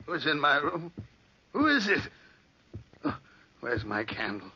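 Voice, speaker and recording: Helpless old man, slow quavering cries, shrill, terrified, pleading, muffled old radio drama. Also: big pitch swings, sharp tone, breathy edge, heavily acted. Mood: alarmed. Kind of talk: 1940s radio horror acting.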